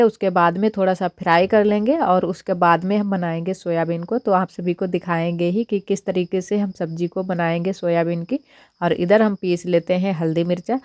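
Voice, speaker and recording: A young woman with a hoarse, husky voice from a cold, chatting casually as she walks through her cooking in a village home kitchen. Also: medium pitch, a little mellow, no room sound, clean studio sound. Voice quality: husky, strained voice. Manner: chatty, homely step-by-step narration. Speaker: village vlogger. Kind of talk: casual cooking vlog narration.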